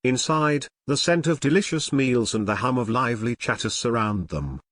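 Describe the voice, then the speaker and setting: A man's voice: mid-range voice, animated, moderate pace, a bit bright, dry recording, studio quality. A man reading scripted narration for an audio drama.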